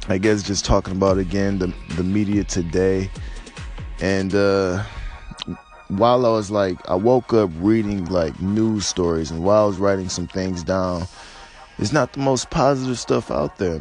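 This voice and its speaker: A low-pitched American man with a slight rasp speaks off the cuff over music. His delivery is casual and laid-back, wandering and hesitant, with plenty of conversational filler. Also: measured pace, a little mellow. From United States. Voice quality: slightly raspy. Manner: hesitant, laid-back, rambling with frequent "you know" and "man". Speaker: podcaster or vlogger giving personal commentary. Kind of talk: casual unscripted monologue on news and life.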